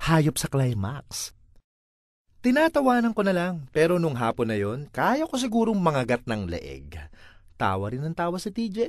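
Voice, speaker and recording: A man's voice: mid-range voice, a bit bright, dry recording, clean studio sound. A man with a Filipino accent reading a first-person comic monologue in a theatrical, exasperated way. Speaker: voice-over reader of a comic monologue.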